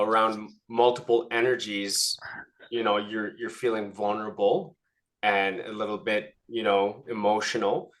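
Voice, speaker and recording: A man's voice: lowish voice, fairly bright tone. A man leading a group coaching session, speaking casually and often checking in with a questioning "right?".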